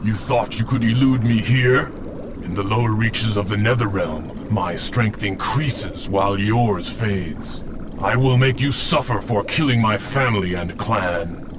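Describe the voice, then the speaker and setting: An actor voicing a vengeful undead ninja specter, stern, menacing and formal, in a video game cutscene. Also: male voice, on the low side, a little slow, noisy background, natural voice. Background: music.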